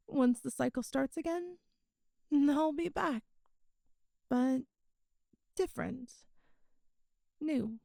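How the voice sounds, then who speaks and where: A woman voicing an ancient female demon in an ASMR audio roleplay, tender, wise and regretful, speaking softly and intimately with sighs and hesitations. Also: high voice, vocal fry, dry recording, clean studio sound, heavily acted. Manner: soft, hesitant, intimate, sighing.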